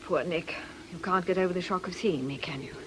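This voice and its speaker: A woman's voice: on the low side, brisk, a little hiss or hum, close to an everyday voice. A woman playing an ailing, middle-aged fortune teller in a radio drama, scornful and theatrical. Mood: bitter. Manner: world-weary, scornful, declamatory.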